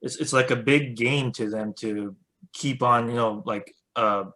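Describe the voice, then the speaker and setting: Halting man hosting an interview. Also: mid-range voice, steady tone, bright, forceful tone. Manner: halting, filler-heavy, searching for words. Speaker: host. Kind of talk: casual interview conversation.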